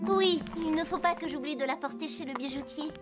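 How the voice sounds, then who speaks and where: A woman's voice: high-pitched, brisk, over music, natural voice. A woman playing a suspicious, direct young girl in a French cartoon dub. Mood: fond, chatty.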